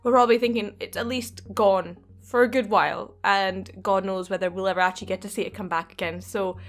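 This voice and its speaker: A woman's voice: medium pitch, steady tone, brisk. Young woman losing her voice. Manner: thoughtful, hesitant, laughs often. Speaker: gaming channel co-host. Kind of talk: casual gaming discussion. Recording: faint background music.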